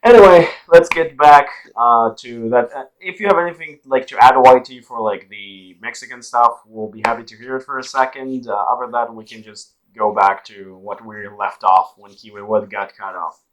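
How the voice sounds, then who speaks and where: A man with an Israeli accent hosts a casual online chat at a middle pitch. He talks loosely and jokes around, tripping over his words and restarting often, over a home computer mic on a voice call. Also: normal speed, few pauses, rough recording. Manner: loose, joking, lots of fillers and restarts. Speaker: podcast host.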